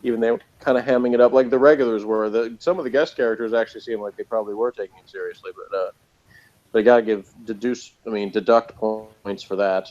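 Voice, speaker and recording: A man's voice: on the low side, steady tone, fast talker, darkish tone, lo-fi sound. A man giving a casual, off-the-cuff TV episode review as host, rambling along in a sardonic way.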